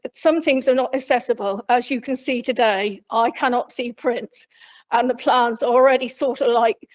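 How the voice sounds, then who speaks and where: A woman from Cornwall speaking loudly and plainly from memory to a council committee, rambling with frequent restarts. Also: fairly high pitch. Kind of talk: unscripted address from memory. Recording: dull lo-fi council meeting recording.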